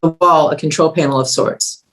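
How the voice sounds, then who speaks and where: A woman's voice: low-pitched, steady tone, moderate pace. A woman reading scripted stage directions as the narrator of a screenplay table read.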